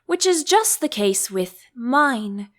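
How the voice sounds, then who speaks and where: A woman puts on an odd, grumbling gentleman from a storybook. He is blunt and contrary, drawing his words out slowly with lively rises and falls. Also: high-pitched, full character voice. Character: eccentric, grumbling, rude male tea-party hatter.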